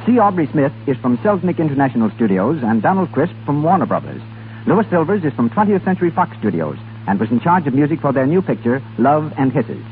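A man's voice: a little high, big pitch swings, fairly quick. A man working as a radio announcer, reading scripted credits in a formal, presentational 1930s style. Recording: tinny, very noisy 1930s radio recording.

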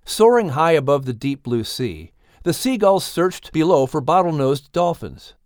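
A man reads a children's storybook aloud with an animated, rising and falling pitch, in a dry, close studio sound. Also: unhurried, no room sound. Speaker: audiobook narrator. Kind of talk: scripted narration.

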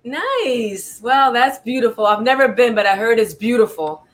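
A woman's voice: fairly high pitch, very animated, moderate pace. A woman from New York hosting a talk show, interviewing a guest in an upbeat, friendly, chatty way.

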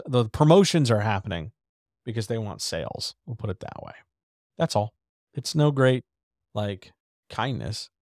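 A man's voice: medium pitch, no room sound, clean studio sound. A man hosting a live writing chat, talking casually and loosely with asides and fillers. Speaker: host of a writers' chat.

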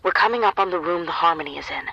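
A woman's voice: lowish voice, very fast, gravelly, sharp tone, highs cut off, slight background noise. A woman acting in an audio drama as a capable, matter-of-fact team member on a covert mission, with dry humour. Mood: focused, guarded. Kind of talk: naturalistic fiction podcast acting.

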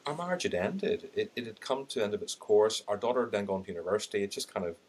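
A man's voice: lowish voice, fast talker, a little hiss or hum. A middle-aged man with an Irish accent, a podcast guest telling his story candidly and animatedly, acting out what he thought at the time. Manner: animated, acts out quoted thoughts with laughing asides. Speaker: podcast guest, sales and LinkedIn trainer.

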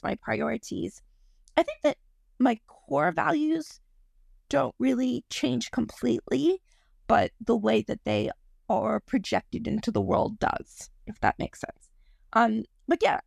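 A woman in her thirties hosts a podcast alone, with a croaky, gravelly voice pitched a little high. She rambles in a casual, self-deprecating way and apologizes when she drifts off the point. The sound is dry and close. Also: dry recording. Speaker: podcast host. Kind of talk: casual solo podcast monologue.

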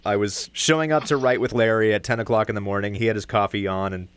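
A man's voice: fairly low pitch, steady tone, quick pace, smooth. A man co-hosting a podcast, interviewing in an informal, conversational style. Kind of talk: casual interview chat.

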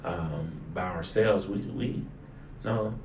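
A man's voice: low voice, takes it slowly, darkish tone. A man who is a pastor, talking casually through his sermon ideas and repeating phrases for emphasis. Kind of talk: casual conversational discussion of a sermon. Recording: roomy echo with clear background noise.

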